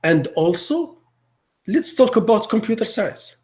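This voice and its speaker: A middle-aged man from Morocco, Arabic his first language, speaks in a somewhat high voice. He answers interview questions haltingly, stopping to repeat words and restart his sentences. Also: sing-song, darkish tone. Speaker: teacher.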